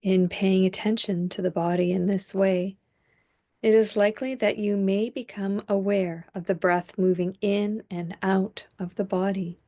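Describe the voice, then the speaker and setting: A woman leading a guided body scan meditation as a teacher, speaking calmly and in a measured way with long pauses. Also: mid-range voice.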